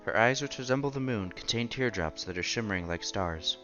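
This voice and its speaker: A man's voice: on the low side, animated, fairly quick, dull audio. A man streaming a visual novel, reading its narration and dialogue aloud expressively. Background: game music.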